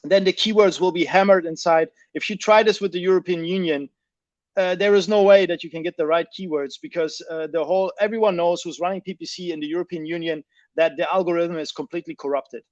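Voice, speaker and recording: A man with a high voice, recorded dry and close with a dull, lo-fi tone. He talks through business points emphatically, circling back and rephrasing as he goes. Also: fairly even intonation, fast, no echo. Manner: emphatic, repeats and rephrases himself. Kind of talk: explanatory business discussion.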